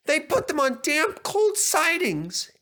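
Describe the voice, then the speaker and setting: A man voicing an eager, earnest young tank engine in a children's story, with a squeaky, chattering delivery. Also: huge pitch swings, slow, sharp tone, heavily acted. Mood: upset. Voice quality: squeaky, high-pitched. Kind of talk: animated children's story character voice.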